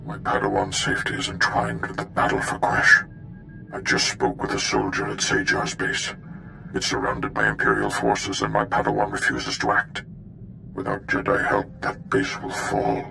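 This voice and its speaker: A solemn alien master with heavy gravel in a very low voice. He speaks formally and gravely, in the manner of a video game cutscene, and his pitch swings dramatically. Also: big pitch swings, normal speed, bright, forceful tone, soft background music, heavily acted, rough recording. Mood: grave, urgent. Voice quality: deep, gravelly.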